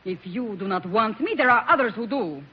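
A woman's voice: on the high side, lively intonation, fairly quick, breathy edge. A woman playing a jealous, passionate, sulky young Sicilian woman with an Italian accent, acted melodramatically in a 1940s radio drama. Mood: wounded, jealous. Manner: melodramatic, pouting. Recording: dull, noisy old radio recording.

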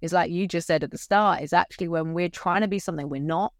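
A woman communication coach, chatting casually and animatedly, with a deep voice, acting out quotes. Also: fast, dry recording, studio quality. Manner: animated, acts out quotes with rising pitch. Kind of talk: casual conversation.

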